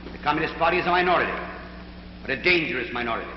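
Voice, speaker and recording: An American man giving formal, earnest, somewhat halting testimony as a witness at a hearing. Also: on the high side, animated. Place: large indoor hearing room. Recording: echoey hall, dull noisy 1940s film.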